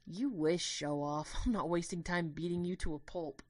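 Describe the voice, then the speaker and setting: A woman's voice: on the low side, normal speed, darkish tone, dry recording, natural voice. A woman voicing a guarded, brooding teenage boy in an audio drama, earnest and emotional. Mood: scornful.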